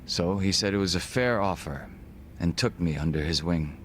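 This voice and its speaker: A man voicing a refined, reserved gangster in a video game cutscene, speaking in formal, measured, polite phrases. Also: low-pitched, a bit bright, slight background noise. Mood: somber, composed. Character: refined, reserved Korean gangster, a former body double.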